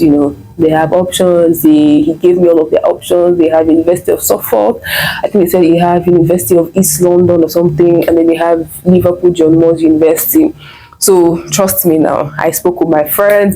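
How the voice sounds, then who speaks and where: A young woman with a Nigerian accent tells her story straight to camera, chatty and animated, and she plays out the lines of the people in it. Her voice sits a little low and has a soft, mellow tone. She sounds like a vlogger talking casually to her viewers. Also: poor audio. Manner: chatty, animated retelling with quoted dialogue and laughter. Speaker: vlogger and online MBA student.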